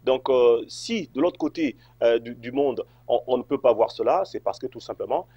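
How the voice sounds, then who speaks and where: A man's voice: medium pitch, normal speed, mellow, husky, no room sound, highs cut off. A man from Congo answering interview questions, hesitant, with many fillers and restarts. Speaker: interview guest.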